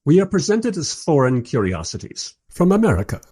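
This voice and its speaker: An actor playing a bemused, wry filmmaker who narrates and interviews in a scripted radio drama. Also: male voice, a little high, huge pitch swings, fairly quick, dark tone, breathy edge, heavily acted. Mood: wry, narrating.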